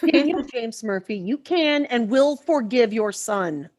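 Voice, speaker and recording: A woman's voice: on the high side, expressive, measured pace, a little mellow. An actress playing a firm, sardonic mother and wife, emphatic and wry, in a comedy table read. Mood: scolding.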